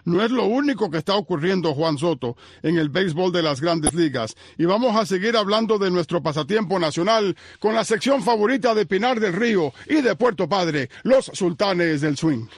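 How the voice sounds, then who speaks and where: High-voiced man hosting sports radio. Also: lively intonation, breathy edge, dry recording. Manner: measured, presenting stats and segments. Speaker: radio host.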